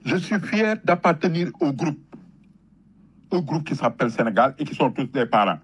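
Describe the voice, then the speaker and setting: A man with a Senegalese accent speaks in a husky, slightly high voice. He delivers an impassioned patriotic talk like a storyteller, leaning on repetition and emphatic, rhetorical phrasing. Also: animated, normal speed. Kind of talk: impassioned patriotic public talk, switching between French and Wolof.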